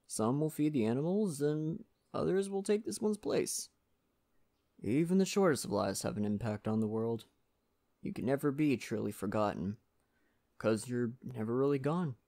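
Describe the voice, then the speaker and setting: Man playing a gentle lumberjack with some creak. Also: mid-range voice, expressive, close to an everyday voice. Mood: gentle, reflective. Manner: gentle, folksy, reassuring, unhurried. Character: friendly Canadian lumberjack, gentle nature-loving father. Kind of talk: ASMR roleplay, soft-spoken monologue to the listener.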